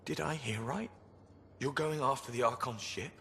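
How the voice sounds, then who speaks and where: A man's voice: medium pitch, moderate pace, fairly bright tone, natural voice. An actor playing a wry, teasing ship engineer in a video game, informal in his talk. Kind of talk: video game cutscene dialogue. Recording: echoey space, background ambience noise.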